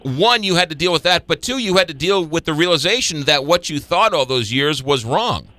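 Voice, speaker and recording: A man's voice: a little high, lively intonation, a little fast, bright, forceful tone, no echo. A man hosting a radio interview in a studio, stumbling and restarting his questions. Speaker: radio host.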